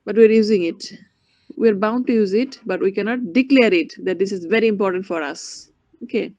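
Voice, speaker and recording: Bangladeshi woman lecturer, mid-pitched, halting delivery, muffled dry sound. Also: darkish tone, no echo. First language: Bengali. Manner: halting, with frequent restarts and spelled-out terms. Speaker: university teacher. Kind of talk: classroom lecture. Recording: dull, dry lo-fi recording.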